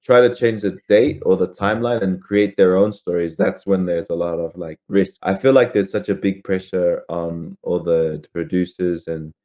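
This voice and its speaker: A man with a very deep voice talks as a podcast co-host. His delivery is informal, like a chat among gamers. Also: steady tone, moderate pace, hardly any pauses, dark tone. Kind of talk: casual chat about games.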